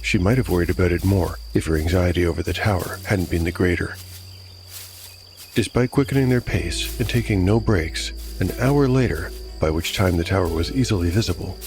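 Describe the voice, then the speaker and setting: A man narrates a dark fantasy audiodrama in a low voice. His delivery is measured and literary, with soft music underneath. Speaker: narrator and solo game master.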